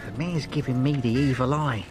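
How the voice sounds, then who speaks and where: A man's voice: medium pitch, moderate pace, lightly acted. An actor playing a shifty, whiny, sullen henchman in a fantasy video game. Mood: sullen complaining. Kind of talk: video game character acting. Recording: loud music behind the voice.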